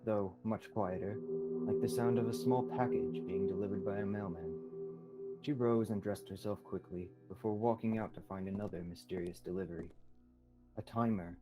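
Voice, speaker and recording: A man's voice: sits low, low-quality recording. A young man reading scripted narration as the storyteller of a student radio drama. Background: soft music.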